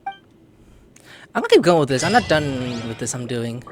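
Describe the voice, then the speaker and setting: A woman giving playful, excitable, teasing reaction commentary over gameplay. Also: very deep voice, unhurried. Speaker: gaming streamer. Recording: game music behind.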